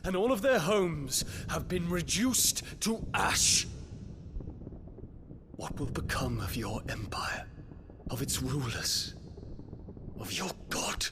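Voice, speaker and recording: A grave, resolute young prince. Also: male voice, on the high side, sing-song, heavily acted. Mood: accusing. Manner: formal, measured, grave delivery. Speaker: prince confronting his father. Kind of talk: video game cutscene acting. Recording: game audio with clear background noise.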